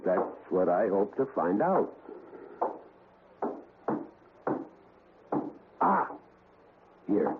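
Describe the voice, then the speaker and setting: An actor playing a grave, determined ghost hunter in a 1970s radio drama, speaking deliberately and dramatically. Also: male voice, deep voice, very animated, heavily acted. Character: grave, determined male ghost hunter, steady under fear. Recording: tinny, hissy vintage radio recording.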